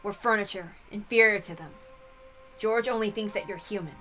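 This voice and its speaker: A woman's voice: mid-range voice, normal speed, a bit bright, soft background music, close to an everyday voice. A woman voicing a sullen, guarded teenage servant boy who is blunt and dismissive, in a visual novel reading. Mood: bluntly pessimistic. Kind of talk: visual novel read-aloud character voice.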